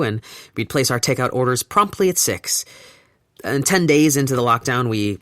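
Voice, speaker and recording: A young man performing first-person audio drama narration as a man recounting his relationship during lockdown. He speaks in a wry, gently amused and reflective way. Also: lowish voice, no room sound, clean studio sound, close to an everyday voice.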